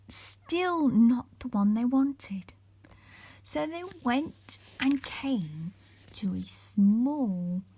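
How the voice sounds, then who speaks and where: A woman reading a children's bedtime story aloud in a soft, breathy storytelling voice. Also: a little high, no echo. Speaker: story reader.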